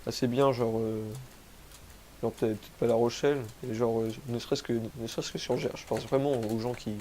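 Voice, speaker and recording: A man's voice: medium pitch, steady tone, brisk, warm tone, a little hiss or hum. A young man from France with a cold, rambling through gameplay commentary, self-mocking and using crude slang. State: has a cold, sniffly. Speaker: gaming youtuber. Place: home, rural.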